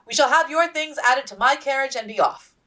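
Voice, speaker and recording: Woman playing officer's wife, commanding, brisk, conspiratorial, raised pitch, storybook character. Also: fairly bright tone, recorded from a distance.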